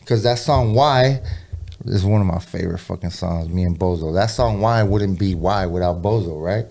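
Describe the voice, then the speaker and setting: A man's voice: sits low, normal speed, creaky, darkish tone. A tipsy man in his thirties from California talks in a loose, rambling way. His street slang is thick with profanity. He sounds unguarded and sure of himself. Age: 30s. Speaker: guest rapper and producer. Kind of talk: casual podcast chat.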